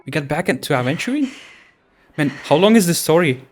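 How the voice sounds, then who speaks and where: A man casually reacting to a game's story, thinking out loud and puzzling over what he sees. Game music plays faintly behind him. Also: fairly high pitch, very animated, fairly quick. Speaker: reaction streamer. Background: game audio.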